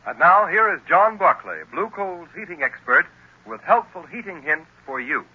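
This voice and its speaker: Casting: American male vintage radio announcer, formal and scripted, animated pitch, slightly high, emphatic. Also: moderate pace, slightly rough, soft background music. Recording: muffled, grainy old radio broadcast.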